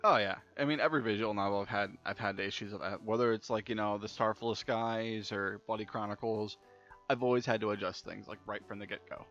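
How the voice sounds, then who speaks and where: A man's voice: on the low side, steady tone, a little fast, fairly bright tone. Young guy doing a chill let's play, reads stuff out, kinda stammery, muffled lofi mic w music behind. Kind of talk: casual let's play commentary, reading game dialogue aloud. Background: game music.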